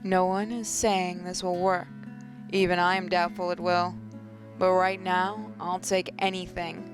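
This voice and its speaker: A woman game host reading visual novel narration aloud in a measured way, occasionally stumbling. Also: on the low side, flat delivery. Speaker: let's play host. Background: music.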